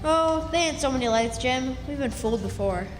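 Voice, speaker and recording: Lady doing a plucky country boy, folksy know it all, highish voice, radio drama w echo. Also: expressive, normal speed. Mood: lively, urgent. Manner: folksy, backwoods boyish delivery. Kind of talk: stage radio drama acting. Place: live stage in a hall. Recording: echoey hall, music behind.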